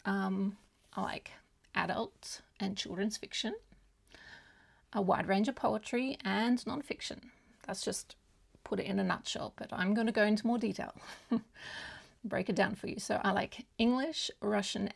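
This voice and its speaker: A woman's voice: mid-range voice, creaky, slightly rough. A woman whose first language is German, chatting casually to viewers as a book vlogger in a rambling, hesitant way that often loses its thread.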